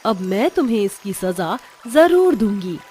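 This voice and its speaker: A woman's voice: mid-range voice, sing-song, measured pace, warm tone, soft background music. A woman voicing a righteous mother goddess in a moral fairy tale, with a commanding, declamatory manner. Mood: stern. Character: stern, righteous mother goddess from a magic tree.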